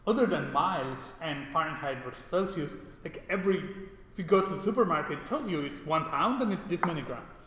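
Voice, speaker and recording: A man's voice: on the high side, animated, fairly quick, fairly bright tone. A man from Mexico giving an informal programming lecture in a classroom, often checking in with short tag questions. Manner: casual, often seeks agreement with tag questions. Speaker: programming instructor. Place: indoor classroom. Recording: echoey, reverberant room with background noise.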